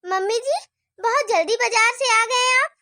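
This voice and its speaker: A sly, sharp-tongued daughter-in-law, played by a woman, sugary to her mother-in-law's face and plotting in hushed mutters behind her back. Her voice is very high and bright, recorded dry and close in a homemade family skit. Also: measured pace, no room sound, exaggerated voice. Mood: sweet, evasive.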